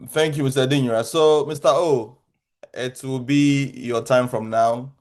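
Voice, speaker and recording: A man's voice: medium pitch, steady tone, measured pace, a little mellow. Male meeting host, Ghanaian accent, middle register, informally running an online group call.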